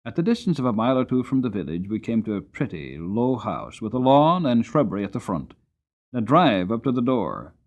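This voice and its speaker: A man narrating an audiobook story in the first person, with a dramatic, gentle storytelling manner. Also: mid-range voice, sing-song, fairly quick, lo-fi sound.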